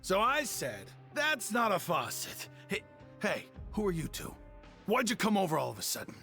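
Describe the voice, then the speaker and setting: A man voicing a burly, self-important prison gossip in a video game, gravelly, boastful and conspiratorial. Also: high voice, wildly swooping pitch, normal speed, pushed and bright, soft background music, exaggerated voice. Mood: irritated.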